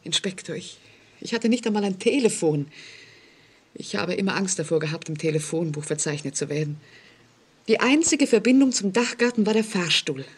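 An actress playing an anxious, distrustful woman in an old German radio play, speaking haltingly with suspenseful drama. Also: on the low side, lively intonation, breathy edge. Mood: haunted, anxious. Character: frightened, haunted woman living alone, anxious and distrustful. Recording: vintage 1940s radio recording.

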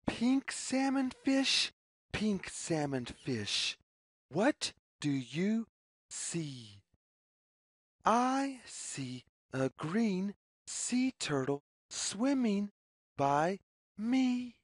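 A woman reads a picture book aloud for young children at a very unhurried, deliberate pace. Her mid-pitched voice swings up and down in a sing-song pattern, with a slightly husky edge. Each phrase is set out word by word. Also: big pitch swings, no room sound, clean studio sound. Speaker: story reader.

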